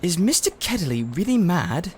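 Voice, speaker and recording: A man's voice: sits high, sing-song, moderate pace, a little hiss or hum, pitched above the performer's own voice. A man voicing a curious, frank young girl in a storybook, with eager questions and exclamations. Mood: humble, curious. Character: curious young English girl, frank, chirpy, easily thrilled.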